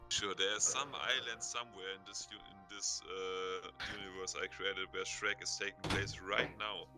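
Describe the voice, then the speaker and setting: Low-voiced joking dungeon master. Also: male voice, level tone, normal speed, loud music behind the voice. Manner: joking, self-interrupting. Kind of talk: narrating a tabletop game.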